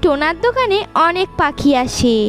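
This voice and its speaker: A woman's voice: very high-pitched, big pitch swings, moderate pace, a bit bright, soft background music. A woman narrating a scripted children's story as the channel's storyteller.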